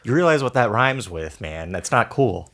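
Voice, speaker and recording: A man's voice: mid-range voice, big pitch swings, normal speed, a bit bright. A young man co-hosting a podcast, teasing and laughing in casual chat. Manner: teasing, playful, laughs a lot. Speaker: podcast co-host.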